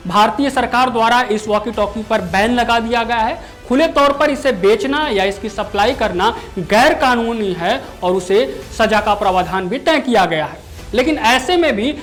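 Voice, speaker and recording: Female news anchor, higher-pitched voice, explanatory and semi-scripted, faint music bed underneath. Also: unhurried. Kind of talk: explanatory news presenting, partly ad-libbed. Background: background music.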